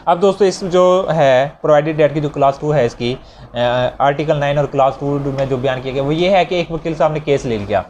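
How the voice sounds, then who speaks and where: Higher-pitched Pakistani man lecturing over background noise. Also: darkish tone. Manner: didactic, repeats and rephrases points with examples. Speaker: law lecturer. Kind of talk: explanatory lecture, reading clauses and explaining them. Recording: clearly noisy recording with ambient sound.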